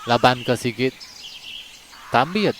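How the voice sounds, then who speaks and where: A man's voice: mid-range voice, animated, takes it slowly, slight background noise. A man telling a long folk story in a conversational way as a channel storyteller, then asking listeners to like and subscribe. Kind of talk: told folk story in Iban.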